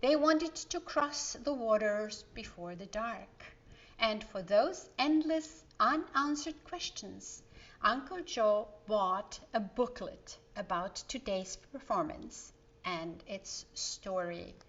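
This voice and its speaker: Woman doing storytime for kids, really slow pace, expressive reading with dramatic pauses. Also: medium pitch, highs cut off. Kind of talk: expressive read-aloud children's storytelling, with asides to listeners.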